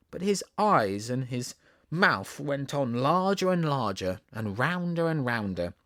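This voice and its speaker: A man with a Southern English accent reading a storybook aloud as an audiobook narrator, expressive and theatrical. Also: fairly high pitch, dry recording, studio quality. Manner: theatrical, animated.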